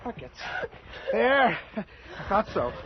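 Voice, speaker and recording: Worried bossy husband, highish voice swinging around, stammery, muffled old radio play. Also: male voice, very animated, takes it slowly, full character voice. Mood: forceful, desperate. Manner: stammering, insistent. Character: tense, domineering, worried husband. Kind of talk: old-time radio drama acting.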